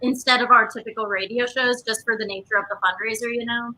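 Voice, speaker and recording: A woman's voice: fairly high pitch, steady tone, fast talker, pushed and bright, poor audio. A woman guest chatting casually and telling stories in an informal way. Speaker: guest, stage actor from a theater company. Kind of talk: casual anecdotal chat.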